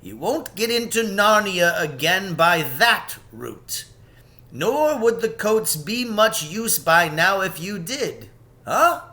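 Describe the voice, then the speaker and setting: A man's voice: sing-song, takes it slowly, sharp tone, heavily acted. A man voicing a kindly, eccentric older professor from a storybook, musing and emphatic, given to questioning himself. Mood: knowing, advising. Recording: background noise with low rumble.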